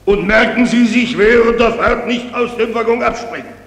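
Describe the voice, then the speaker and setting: An actor in a German radio drama playing a pompous, doddering general who gives pedantic, repetitive orders in a creaky voice. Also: male voice, extremely high pitch, normal speed, a bit bright. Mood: fussy, commanding. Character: pompous, doddering male general inspecting troops. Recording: very echoey hall, long reverb.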